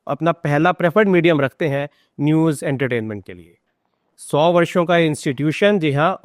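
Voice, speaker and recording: A man's voice: fairly high pitch, dry recording, clean studio sound. A man with an Indian accent hosting a podcast, speaking in a conversational interviewing style. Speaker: podcast host.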